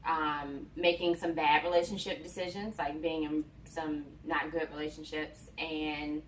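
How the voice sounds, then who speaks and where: A woman's voice: deep voice, flat delivery, a little slow. An American woman of about thirty-one, an interview guest reflecting informally on her personal life. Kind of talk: casual personal storytelling. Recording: echoey room, distant mic, faint noise.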